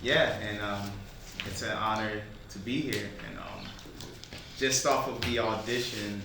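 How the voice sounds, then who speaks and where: A man's voice: lowish voice, steady tone, unhurried. A man from New Jersey talking casually and a little hesitantly, in a laid-back way, among fellow actors. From New Jersey, US East Coast. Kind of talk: casual talk at a cast table read. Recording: echoey noisy room, distant mic.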